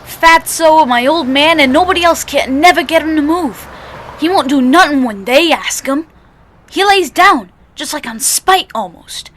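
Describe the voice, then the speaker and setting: A woman's voice: high-pitched, lively intonation, measured pace, fairly bright tone. A woman playing a naive, earnest little child with slangy street talk in an old-time radio play. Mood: stubborn, upset. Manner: plain slangy kid talk.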